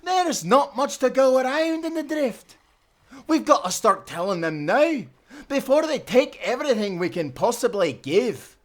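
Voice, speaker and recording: A defiant Scottish adventurer played by a man in an audio drama. His voice sits high for a man and moves up and down a lot. He is bold and swaggering. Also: very animated, a little slow, heavily acted. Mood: scornful, defiant.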